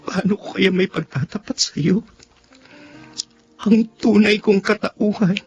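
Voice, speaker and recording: A man's voice: sits high, steady tone, measured pace, a little mellow, slightly rough, dull audio, full character voice. An anguished son in a radio drama. He broods painfully over a secret he fears to confess, with faint music under him. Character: anguished son hiding his true identity from father. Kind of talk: Tagalog radio drama monologue.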